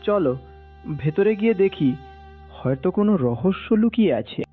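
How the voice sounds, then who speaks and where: A man's voice: high voice, expressive, normal speed, husky, faint music behind. A man voicing an adventurous young village boy in a cartoon, talking eagerly and encouragingly. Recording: lo-fi phone-like sound.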